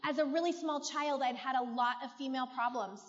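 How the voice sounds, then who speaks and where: Middle-aged woman, American accent, high voice, heartfelt testimony, long reverb of a large hall. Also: brisk. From California, United States. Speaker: guest giving personal testimony. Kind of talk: personal testimony to a church audience. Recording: muffled, echoey large hall.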